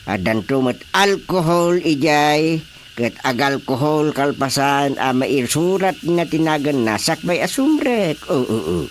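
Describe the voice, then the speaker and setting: An actor in a Filipino radio drama comedy voicing an excitable elderly village chairman with repetitive exclamations. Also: mid-range voice, unhurried, natural voice. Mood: earnest, bossy. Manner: exclamatory, repetitive, swooping reactions. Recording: steady background noise.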